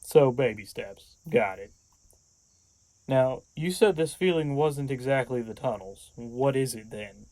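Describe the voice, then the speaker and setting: A man's voice: medium pitch, unhurried, mellow, no echo, lightly acted, rough recording. A man playing a sarcastic, distrustful, impatient younger man in an audio drama, blunt and sardonic. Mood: wary, sardonic. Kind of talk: audio fiction podcast acting.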